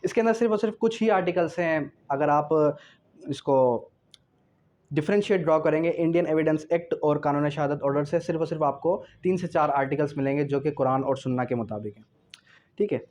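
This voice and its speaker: High-pitched Pakistani man lecturing. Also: darkish tone, a little hiss or hum. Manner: didactic, asks and answers own questions, slows to read out clauses. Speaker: law lecturer. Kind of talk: explanatory law lecture, code-switching with English.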